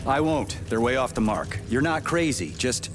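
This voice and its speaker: An actor as a gruff, animated action-game soldier. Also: male voice, mid-range voice, moderate pace, music in the background, lightly acted. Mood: matter-of-fact. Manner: gruff, curt, exclamatory. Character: gruff, grumpy, naive male soldier, irritable and blunt. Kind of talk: video game character acting.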